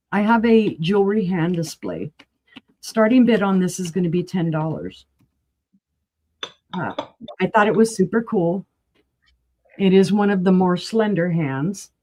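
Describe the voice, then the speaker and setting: A woman running a live auction sale, chatty and enthusiastic, with a voice that is going hoarse. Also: lowish voice, dark tone. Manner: chatty, enthusiastic, rambling asides. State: losing her voice, clearing throat.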